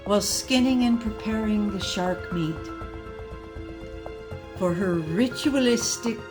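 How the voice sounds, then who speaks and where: Slow-paced woman telling a mock-serious story. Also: mid-range voice, music in the background. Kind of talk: slow dramatic comic storytelling.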